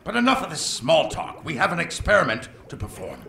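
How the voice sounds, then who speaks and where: A man playing a scatterbrained, pompous male mad scientist who bursts into excitable outbursts. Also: fairly high pitch, a little fast, creaky, roomy sound, slight background noise, full character voice. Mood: self-important. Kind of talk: comic radio play acting.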